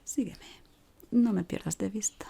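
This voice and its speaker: Whispering Spanish woman, soft ASMR roleplay. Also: medium pitch, vocal fry, a little hiss or hum. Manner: soft, unhurried, gently instructing. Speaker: ASMR performer playing a decorator guiding a newcomer.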